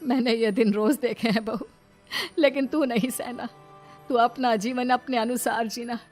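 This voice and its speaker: Woman doing kind tired older mom in law, earnest motherly talk, highish, cartoon story w music. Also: steady tone, normal speed, darkish tone, close to an everyday voice. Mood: sad, caring. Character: kind, long-suffering older mother-in-law, protective and weary.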